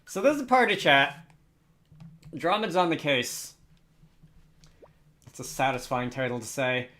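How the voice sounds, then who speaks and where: A man's voice: medium pitch, big pitch swings, measured pace, pushed and bright. A man giving casual commentary on a game as a let's player who reads its dialogue aloud.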